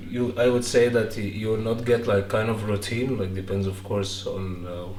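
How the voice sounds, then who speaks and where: A man's voice: lowish voice, fairly even intonation, normal speed, darkish tone. A young man with an Egyptian accent chatting casually as an interview guest. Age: young adult. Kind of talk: casual chat. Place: living room with big windows. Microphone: handheld microphone. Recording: echoey, distant, some room noise.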